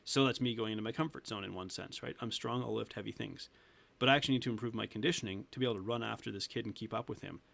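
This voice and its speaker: A man's voice: lowish voice, very fast, gravelly, fairly bright tone. A man in his thirties, a weight loss coach talking earnestly as a podcast guest, laughing often and restarting sentences. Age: 30s. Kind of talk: casual interview talk.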